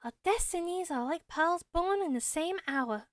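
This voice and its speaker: A woman plays a bold little forest spirit from a fantasy game. The voice is high and the delivery florid and theatrical, as if every line were poetry. It is recorded very dry. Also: expressive, moderate pace, dry recording. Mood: dreamy, poetic. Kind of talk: amateur video game voice acting.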